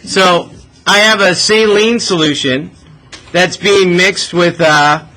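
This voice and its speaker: A man's voice: high-pitched, slow pace, bright, forceful tone. A man teaching a math class informally, working a problem aloud and often checking in with short questions. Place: classroom with students. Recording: noisy classroom recording.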